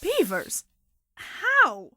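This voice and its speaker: A woman's voice: extremely high pitch, huge pitch swings, extremely slow, sharp tone, a little hiss or hum, full character voice. A woman acting a haughty, defiant dance-hall performer in a fan comic dub, indignant and theatrical. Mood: disdainful.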